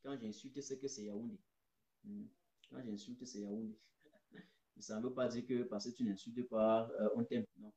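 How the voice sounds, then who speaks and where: A man's voice: lowish voice, steady tone, normal speed, warm tone, a little hiss or hum. A man from Cameroon, hosting a political live stream, emphatic and repeating his points straight to viewers. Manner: emphatic, repeats phrases, addresses viewers directly. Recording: roomy echo.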